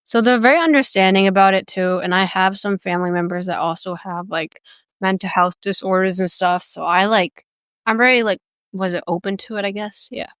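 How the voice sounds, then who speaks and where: Sex: woman. Origin: United States. Role: podcast host. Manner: rambling, giggly, full of fillers. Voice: smooth and clear, mid pitch. Room: dry. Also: fairly even intonation, fairly quick, fairly bright tone, dry recording. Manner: rambling, giggly, heavy use of "like". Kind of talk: casual chat.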